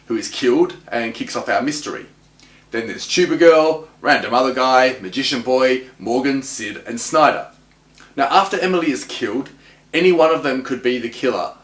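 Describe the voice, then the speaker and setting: A man delivering recap and analysis commentary as a host, with wry, clipped deadpan quips. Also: medium pitch. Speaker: host of a TV episode analysis show. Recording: roomy, echoing voice recording.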